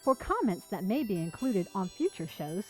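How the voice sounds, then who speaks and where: A woman's voice: medium pitch, big pitch swings, normal speed. A woman announcer reading scripted credits for a show. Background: music.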